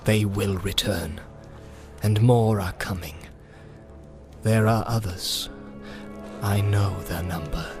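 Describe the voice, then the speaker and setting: A man voicing a grim, secretive, world-weary wanderer of the wilds in an audiobook, measured and guarded. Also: fairly low pitch, soft background music. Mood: stern warning. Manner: measured and guarded, often dropping to a hush. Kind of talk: audiobook character acting.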